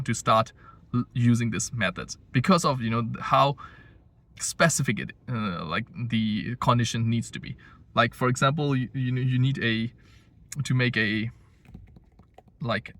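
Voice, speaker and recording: A man's voice: medium pitch, a bit bright. Blunt, rambling male vlogger. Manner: blunt, rambling, frequent fillers and restarts. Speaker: language-learning vlogger. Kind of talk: casual opinion monologue to camera. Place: home in Japan.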